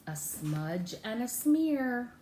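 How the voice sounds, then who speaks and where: Very animated woman reading to young children. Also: on the high side. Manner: exaggerated, expressive teaching delivery with drawn-out words and gasps. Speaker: storytime teacher reading a picture book to a child. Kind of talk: interactive read-aloud for young children. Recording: echoey room with faint background noise.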